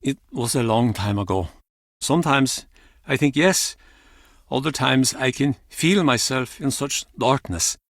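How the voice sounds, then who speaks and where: A man's voice: medium pitch, no room sound, studio quality, natural voice. An actor playing an embittered, grieving old fisherman in a video game, blunt and theatrical in manner. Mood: sorrowful, remorseful. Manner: theatrical, blunt, halting old-salt turns of phrase. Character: gruff, embittered, grieving old Icelandic fisherman and pub regular. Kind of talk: video game character acting.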